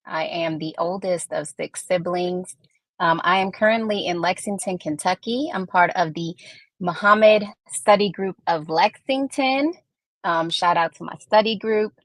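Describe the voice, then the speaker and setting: Low-voiced woman from Puerto Rico. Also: unhurried, a bit bright, no echo. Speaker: podcast guest. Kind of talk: conversational interview answers.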